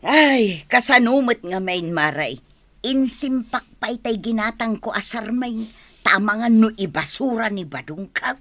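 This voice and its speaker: A woman playing a lively, thrifty married village woman in an Ilocano radio comedy, expressive and full of exclamations, with an Ilocano accent. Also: fairly high pitch, measured pace, no room sound. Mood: animated, friendly. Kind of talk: Filipino radio comedy drama acting.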